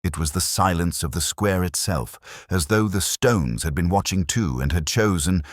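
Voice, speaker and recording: An older man playing a melancholy, cerebral detective, narrating a scripted mystery in a measured, reflective way. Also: sits low, lively intonation, dry recording, clean studio sound. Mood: uneasy, reflective. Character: melancholy, cerebral, brooding male English police detective.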